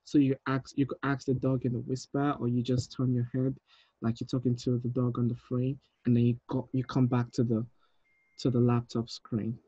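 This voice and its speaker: A man's voice: medium pitch, monotone, moderate pace, warm tone, breathy edge, dry recording. Dull-sounding man on a video call. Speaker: director reading a screenplay at a table read. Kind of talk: casual script reading and notes. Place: indoor room on a video call. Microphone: video call with earpiece.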